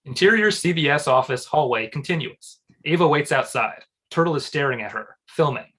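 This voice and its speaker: A man's voice: fairly high pitch, animated, fairly quick, pushed and bright, low-quality recording. A man reading screenplay stage directions as scripted narration at a comedy table read.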